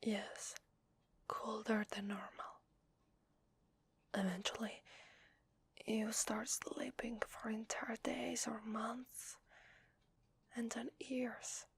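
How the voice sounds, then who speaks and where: Weary female vampire, acted by a woman, Italian accent, hesitant and confiding; close, dry ASMR whisper with some gravelly fry. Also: on the high side, level tone, very slow, long pauses, no room sound, heavily acted. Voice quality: breathy whisper. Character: weary, desperate, apologetic young-sounding female vampire.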